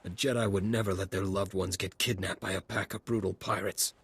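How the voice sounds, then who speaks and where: Husky, despairing outlaw man. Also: deep voice, steady tone, a little fast, no room sound, full character voice. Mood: defeated. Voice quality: husky, rough. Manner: defeated, self-deprecating. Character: despairing male outlaw, humbled thief hero. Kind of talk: video game voice acting.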